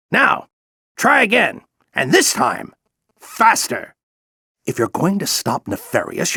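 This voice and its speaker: An aged alien general from a video game, with a raised, creaky voice. He coaches in a theatrical, fervent way, swinging widely in pitch. The recording is tight and dry. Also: a bit bright, dry recording, heavily acted. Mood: fervent encouragement. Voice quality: gravelly, theatrical. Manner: grand, exhorting, coaching. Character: aged Lombax general, furry alien mentor, fervent and encouraging. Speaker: mentor and military veteran. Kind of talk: video game character acting.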